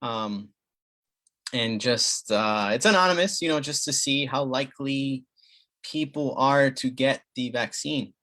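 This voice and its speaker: Halting bilingual family doctor. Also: male voice, medium pitch, bright, forceful tone. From Dominican Republic. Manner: halting, many fillers and restarts. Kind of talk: community health talk, bilingual.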